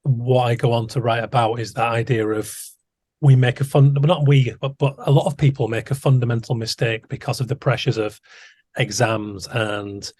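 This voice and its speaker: A man's voice: mid-range voice, fairly even intonation, normal speed, a little mellow, breathy edge, no echo. A man hosting an interview podcast, talking in a thoughtful, musing way with frequent restarts. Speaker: podcast host. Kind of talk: conversational interview.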